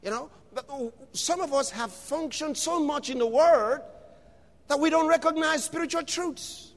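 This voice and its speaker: Man, preacher, very high voice, slow drawn-out delivery, impassioned shouting, long hall reverb. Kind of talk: sermon.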